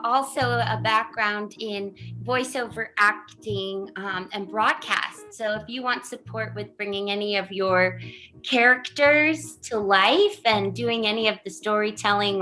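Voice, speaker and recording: Enthusiastic woman, bright sharp tone, video-call chat. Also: mid-range voice, a little slow, low-quality recording. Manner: enthusiastic and friendly.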